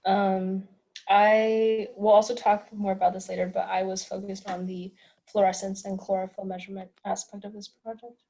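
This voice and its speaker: A woman's voice: medium pitch, little pitch movement, normal speed, mellow. A young woman, a student panelist, introducing herself briefly and plainly. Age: young adult.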